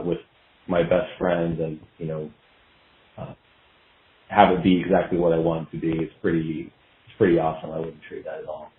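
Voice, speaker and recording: A man chatting casually as an interview guest, rambling and halting as he gathers his thoughts. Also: sits low. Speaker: podcast guest, band guitarist. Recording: thin phone-like line with room echo.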